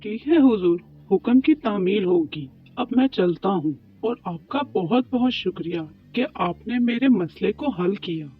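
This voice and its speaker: Man voicing a high-pitched poor tandoor baker. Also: lively intonation, unhurried, few pauses, slightly rough, exaggerated voice. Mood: humble, pleading. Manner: pleading, deferential, talks to himself. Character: poor, worried, humble male tandoor bread baker. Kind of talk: Urdu moral cartoon story acting. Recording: muffled lo-fi track with music behind.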